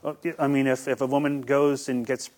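A man's voice: mid-range voice, little pitch movement, a little fast, a little mellow. A young man giving earnest, hesitant interview answers as a guest, often repeating words as he starts. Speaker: guest, YouTuber.

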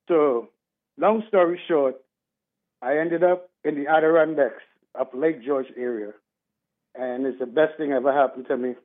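A man's voice: medium pitch, unhurried, long pauses. A man from Trinidad, calling in to a radio show and speaking earnestly in a rambling way. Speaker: caller. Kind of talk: call-in talk radio. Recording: tinny phone line.

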